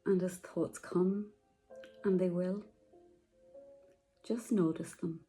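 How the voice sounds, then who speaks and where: A woman guiding a meditation, speaking softly and slowly with long pauses. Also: on the low side, steady tone, faint music behind. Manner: slow, soft, soothing, with long pauses. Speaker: meditation guide. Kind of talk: guided meditation.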